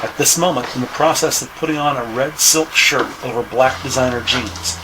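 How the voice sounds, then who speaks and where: A man's voice: medium pitch, normal speed. A man reading scripted narration for a crime audio drama. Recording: noisy ambient sound bed.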